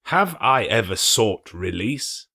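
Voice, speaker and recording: A man's voice: on the low side, sing-song, slow, vocal fry, pushed and bright, no echo, clean studio sound, full character voice. A man voicing a proud, avaricious man in the prime of life in a dramatic audiobook, with a defensive, impatient manner. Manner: defensive, retorting.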